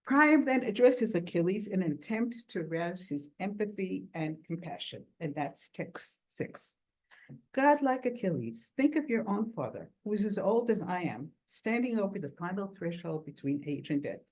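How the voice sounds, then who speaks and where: A woman giving an academic lecture at a workshop, reading quoted texts aloud in a measured, deliberate way and slowing down to stress key words. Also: medium pitch, animated, a little mellow, poor audio.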